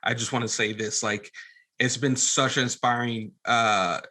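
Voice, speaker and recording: A man's voice: medium pitch, steady tone, measured pace, bright, forceful tone. A man talking as a podcast guest in a casual storytelling style, earnest and rambling a little. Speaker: podcast guest, filmmaker and former pastor.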